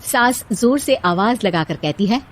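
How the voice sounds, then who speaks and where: A woman's voice: mid-range voice, animated, unhurried. A woman narrating a scripted moral bedtime story as the storyteller.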